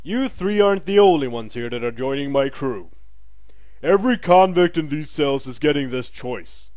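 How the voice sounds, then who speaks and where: A man playing a brisk, pragmatic military recruiter in an audio drama, matter-of-fact and wry. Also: fairly high pitch, lively intonation, darkish tone, no echo, close to an everyday voice.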